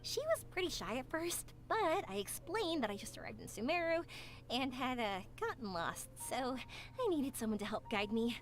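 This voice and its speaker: An actress voicing a cheerful, talkative young woman in a game, speaking in a bright, encouraging way. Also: high voice, sing-song, normal speed, faint music behind. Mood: thoughtful. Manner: bright, encouraging, sing-song. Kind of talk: game voice acting.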